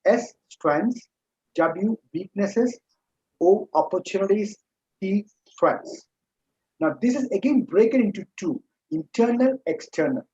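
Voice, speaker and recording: A man's voice: sits high, expressive, takes it slowly, darkish tone, slightly rough, lo-fi sound. A man whose first language is Urdu, giving an earnest motivational talk to students, repeating key points and calling them his dear children. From India. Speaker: motivational speaker and trainer.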